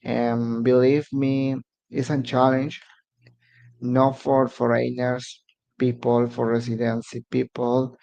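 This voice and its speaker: A man's voice: mid-range voice, level tone, slow pace, creaky, warm tone, lo-fi sound. A man from Mexico whose first language is Spanish, speaking English with a Mexican Spanish accent as he hosts a casual live stream and halts to search for words. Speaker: immigration advice channel host.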